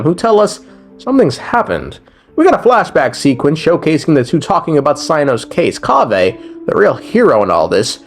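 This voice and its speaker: A young man giving a casual, slangy and profane recap commentary as a gaming video creator, joking as he goes. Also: fairly high pitch.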